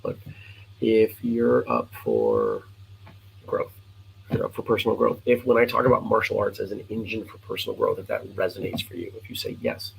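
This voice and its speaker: Hoarse, gravelly man hosting a morning show. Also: fairly low pitch, expressive, a little hiss or hum, low-quality recording. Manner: earnest, encouraging, halting with fillers. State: hoarse, scratchy voice; sleep-deprived. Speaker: host of a martial arts morning show. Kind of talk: casual live-stream chat.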